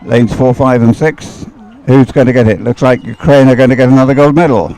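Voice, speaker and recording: A man's voice: medium pitch, steady tone, brisk, a little mellow, rough recording. A man giving live commentary as a sports race commentator.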